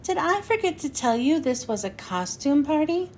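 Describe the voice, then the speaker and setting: A woman reading aloud, voicing a kind grown-up woman who hosts a party, in gentle, friendly storybook dialogue. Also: high-pitched, very animated, normal speed, slight background noise. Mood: surprised.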